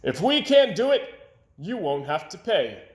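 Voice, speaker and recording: Storybook steam shovel man , read by a man: eager, assured, emphatic, raised lively voice. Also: high voice, measured pace, echoey room.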